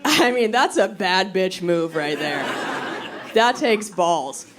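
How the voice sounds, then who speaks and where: A woman performing stand-up comedy, telling stories in an animated, conversational way and acting out quotes. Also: medium pitch, slow. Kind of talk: stand-up comedy storytelling to a live audience. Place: live comedy venue on stage. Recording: live crowd laughter, noisy stage recording. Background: audience laughter.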